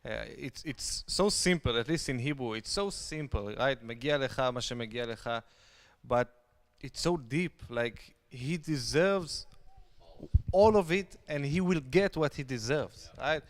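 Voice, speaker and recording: A man's voice: medium pitch, normal speed. A man talking emphatically on a casual livestream, repeating phrases for stress.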